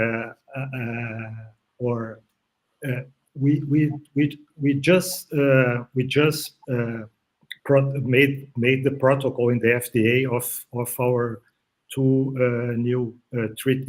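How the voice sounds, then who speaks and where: A man's voice: mid-range voice, steady tone, slow pace, poor audio. A man from Brazil, a parent speaking as a panel guest, hesitant and full of fillers. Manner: hesitant, many fillers and repeats. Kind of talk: live stream panel talk.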